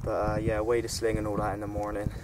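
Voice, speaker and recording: A young man chatting casually about his catch by a lake outdoors, in an upbeat, relaxed way. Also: on the low side, quick pace. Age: young adult. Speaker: angler vlogger. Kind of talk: casual fishing commentary. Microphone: camera on a tripod from a few feet away. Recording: distant outdoor camera audio, loud wind. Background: wind and outdoor ambience.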